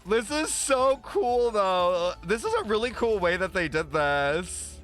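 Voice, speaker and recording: A man streaming his reaction to a game, excitable and campy, swearing freely and saying "like" constantly. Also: sits high, slow, soft background music. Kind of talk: casual reaction commentary.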